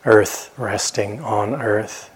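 A man teaching a guided meditation in a gentle, unhurried manner, leaving long pauses between phrases. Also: on the low side, fairly even intonation, slightly rough, low-quality recording.

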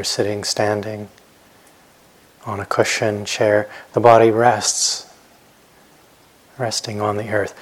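A man guiding a meditation as a teacher, speaking gently in short, unhurried phrases with long pauses. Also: fairly low pitch. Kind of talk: guided meditation instruction.

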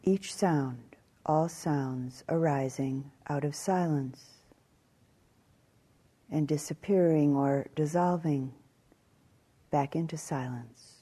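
A woman teaching guided meditation in a calm, measured way, leaving long pauses between short phrases. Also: deep voice, breathy edge.

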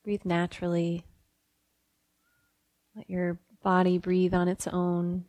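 Female meditation guide: gentle, unhurried, flat-pitched delivery in a dry, close sound. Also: no room sound. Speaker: meditation teacher.

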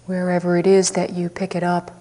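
A woman teacher leading a guided meditation, speaking softly and unhurriedly with long pauses. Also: lowish voice, completely flat delivery.

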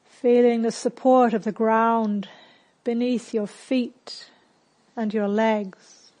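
Female meditation guide, fairly high voice, very slow delivery, calm gentle instruction with spacious pauses. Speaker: meditation teacher.

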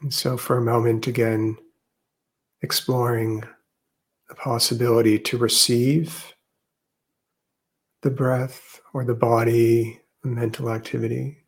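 A man leading a guided meditation as a teacher, speaking gently and unhurriedly with long pauses. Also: mid-range voice, little pitch movement.

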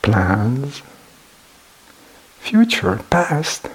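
A male meditation teacher gives a guided talk in a husky voice at a middling pitch. He speaks very slowly, in short, measured phrases, leaving space between them. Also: very animated, poor audio.

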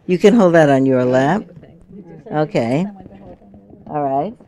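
A woman's voice: low voice, animated, slow pace. A woman in her sixties, a meditation teacher, chatting informally and laughing with her group. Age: 60s. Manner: warm, chatty, laughing often. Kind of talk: informal talk to a retreat group.

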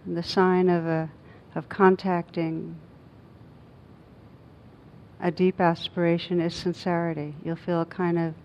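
Slow, low-voiced woman guiding meditation. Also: level tone. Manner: gentle, unhurried, with long pauses between short phrases. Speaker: meditation teacher. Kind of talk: guided meditation. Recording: dull muffled recording with faint rumbling noise.

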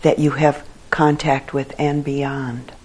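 A woman leading a guided meditation for a group as their teacher, speaking in a calm, measured way with long pauses. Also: a bass voice, slightly rough.

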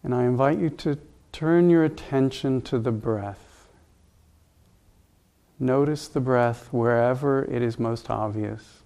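A man leading a guided meditation as a teacher, speaking calmly and gently in unhurried, spaced instructions. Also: mid-range voice.

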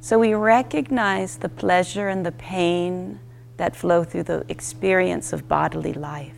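A woman leads a guided meditation as a teacher, very slowly and in short, gentle phrases. Her voice sits on the low side with a creaky, fry-edged texture. A faint noise and hum sit behind her. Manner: unhurried, gentle instruction with short spaced phrases.

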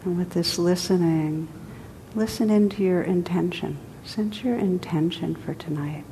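A woman teaching a guided meditation, speaking gently and unhurriedly in short phrases with pauses between them. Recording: echoey hall, rumbly background noise.

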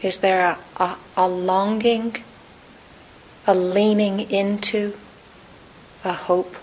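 A woman teaching meditation, guiding listeners in short, unhurried phrases with long pauses between them. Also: medium pitch, flat delivery, slight background noise, poor audio. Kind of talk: guided meditation.

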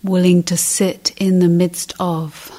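Very slow, hushed woman guiding meditation. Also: on the low side, little pitch movement. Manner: unhurried, measured, in short phrases. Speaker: meditation teacher. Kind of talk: guided meditation, slow contemplative speech with long pauses.

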